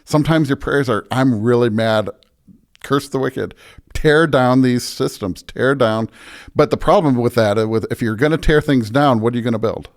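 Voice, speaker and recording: A man's voice: medium pitch, moderate pace. An American man speaking as a pastor guest, casual but earnest, repeating phrases for emphasis.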